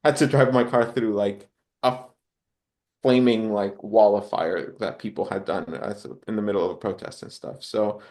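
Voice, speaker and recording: A man's voice: on the low side, fairly even intonation, normal speed, a little mellow. A man from Honduras chatting casually as a podcast guest, in a reflective, rambling way with hesitant phrasing. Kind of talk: casual interview chat.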